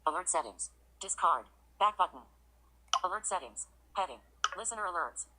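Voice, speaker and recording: A woman's voice: on the low side, expressive, moderate pace, bright, forceful tone. A synthetic woman's voice working as a screen reader, giving clipped announcements of menus and buttons. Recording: clearly noisy, with clicks and tapping.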